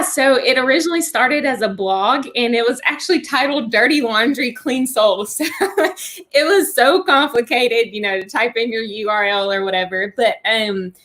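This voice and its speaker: Bright-voiced Texas woman, upbeat. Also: a little high. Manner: upbeat, laughs easily. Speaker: podcast guest. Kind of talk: casual interview chat.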